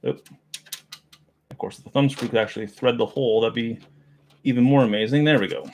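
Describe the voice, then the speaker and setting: A man's voice: medium pitch, a little fast, slight background noise. A man in his thirties chatting casually in a small home office, rambling and muttering as he works on a computer case. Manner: rambling, self-deprecating, mutters while handling parts. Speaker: streamer unboxing a computer. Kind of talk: casual live chat while working. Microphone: boom mic near desk.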